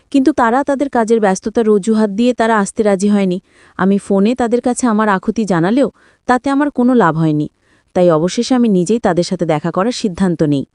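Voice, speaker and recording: A woman reading a scripted first-person story in a measured, dramatic storytelling manner. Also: medium pitch, darkish tone, no echo, studio quality. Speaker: story narrator.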